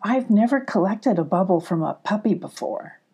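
A woman's voice: mid-range voice, expressive, normal speed, warm tone, slightly rough. A woman voicing a small, earnest, anxious female creature in a children's storybook, with a fretful, dramatic delivery. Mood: puzzled.

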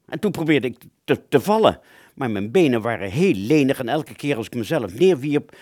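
Male actor for wounded airman, radio play: confused, agitated, stammering, wide swings in pitch, very dry close sound. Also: darkish tone, no room sound. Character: anxious, confused wounded airman in a hospital bed. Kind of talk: Dutch radio drama, first-person narration and dialogue.

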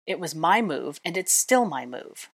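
An arrogant young wizard, read by a woman in a low, breathy voice. He sneers and gives orders in a scornful tone. It is a storybook reading. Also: big pitch swings, a little slow, full character voice. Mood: sneering. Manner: scornful, bossy. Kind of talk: audiobook character voice.

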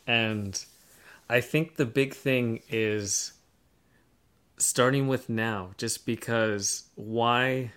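A man co-hosting a podcast, talking casually and analytically, pausing as he works through his thoughts. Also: medium pitch, fairly even intonation, fairly bright tone, clean tone. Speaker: podcast co-host. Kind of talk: casual discussion of film trends.

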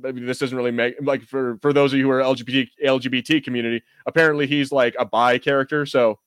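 A man's voice: mid-range voice, level tone, fast, a bit bright, clean tone, no echo. A man hosting a podcast, chatting casually about fandom in an enthusiastic, rambling, jokey way. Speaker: podcast host. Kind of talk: casual fan discussion.

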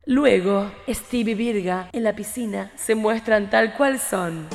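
A woman's voice: medium pitch, slow, big-room sound, soft background music. A woman narrating a scripted comic radio play.

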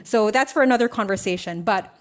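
A woman's voice: mid-range voice, brisk, clean tone. A young adult woman from the Philippines, a business coach talking as a podcast guest, telling her story in a reflective way with many fillers and restarts. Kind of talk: casual interview storytelling.

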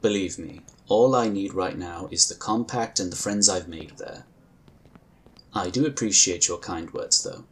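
An actor playing a calm, courteous psionic inquisitor in a sci-fi audio drama, with measured, formal phrasing. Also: male voice, low-pitched, slight background noise. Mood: friendly, wry.

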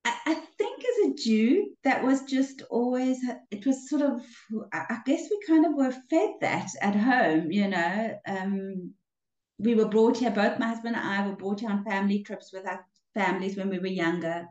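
A woman's voice: mid-range voice, moderate pace, a little mellow, reverberant, highs cut off. An older woman from Africa, a relationships coach telling her story in an interview, with hesitations and restarts. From South Africa. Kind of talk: casual interview conversation.

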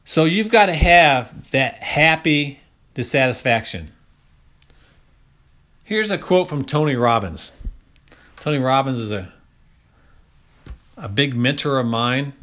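A man's voice: medium pitch, unhurried, pauses often, fairly bright tone. A man of about sixty giving a motivational health talk, speaking emphatically and repeating key phrases for stress. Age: around 60.

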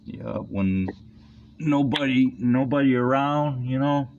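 A middle-aged man hosting an interview, easygoing and soft-spoken. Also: medium pitch, takes it slowly. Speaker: interviewer, host. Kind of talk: casual interview chat. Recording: dull, slightly noisy line.

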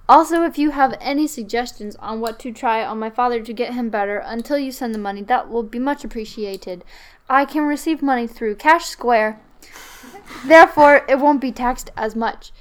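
A woman's voice: fairly high pitch, moderate pace. A woman co-hosting a podcast, reading a joke email aloud and giggling through it. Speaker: podcast co-host.

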